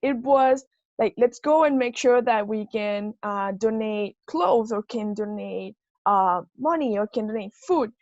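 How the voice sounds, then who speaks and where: A fairly high-voiced woman from Venezuela speaks casually, filling pauses with ums and uhs. The sound is dull, lo-fi, and very dry, like a video call. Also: darkish tone, dry recording. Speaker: guest speaker. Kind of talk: personal story in a webinar.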